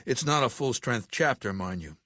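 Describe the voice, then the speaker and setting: An imperious middle-aged warlord for a video game, with a mid-pitched voice recorded close and dry. He talks down to his listener deliberately, every phrase carrying command and menace. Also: expressive, fairly bright tone, dry recording. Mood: measured, commanding. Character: imperious middle-aged male dictator of a slaver legion. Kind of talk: video game character dialogue.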